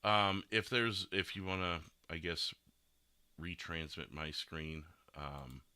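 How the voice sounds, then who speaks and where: A man's voice: sits low, fairly even intonation, slow pace, pushed and bright, clean tone, no echo. A man hosting a casual podcast in a rambling, self-deprecating and joking manner. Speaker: podcast host and game streamer.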